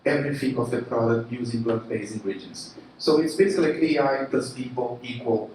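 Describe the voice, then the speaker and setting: A man from Brazil with a Brazilian Portuguese accent, giving a casual, animated keynote talk full of fillers and restarts. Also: mid-range voice, a little fast, a little hiss or hum. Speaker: conference speaker, marketing chief. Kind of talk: keynote presentation. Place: large room at a live event. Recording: echoey room from a distance.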